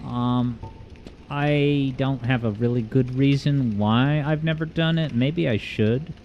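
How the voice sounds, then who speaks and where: A man hosting a gaming livestream from home, reading viewer comments aloud in a rambling, joking, self-deprecating way, with a smooth voice. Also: medium pitch, slow pace, lo-fi sound, faint music behind. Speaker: livestream host. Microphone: streaming mic with boosted EQ. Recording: steady background noise.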